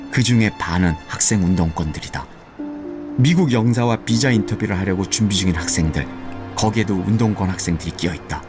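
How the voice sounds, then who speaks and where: A man narrating a radio drama in an even, descriptive storytelling manner, with music underneath. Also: fairly low pitch.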